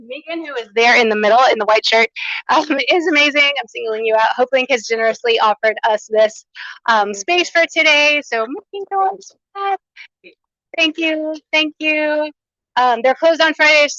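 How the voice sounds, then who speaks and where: A woman's voice: a little high, no echo, muffled recording. A woman hosting a small conference, giving upbeat welcoming remarks and housekeeping notes without a microphone. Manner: upbeat, enthusiastic, loud unamplified voice. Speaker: conference coordinator and host. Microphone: no microphone, room recording.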